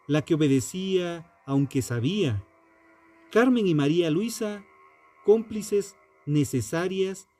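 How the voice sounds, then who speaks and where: Male narrator for true crime: mellow, dark-toned, unhurried and grave, sententious scripted delivery over music. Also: a little high. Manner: solemn, deliberate, sententious. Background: background music.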